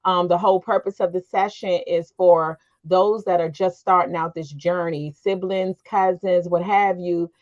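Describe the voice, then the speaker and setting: Middle-aged woman, American accent, lowish voice, informal host, muffled lo-fi sound. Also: fairly even intonation, measured pace, few pauses. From Washington DC area, United States. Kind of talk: informal panel moderation. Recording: dull, lo-fi call audio.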